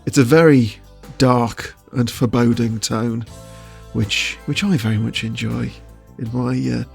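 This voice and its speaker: Gravel-edged man, thoughtful and chuckly. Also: medium pitch, steady tone, a little slow, soft background music. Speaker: voice actor being interviewed. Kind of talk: casual interview answers.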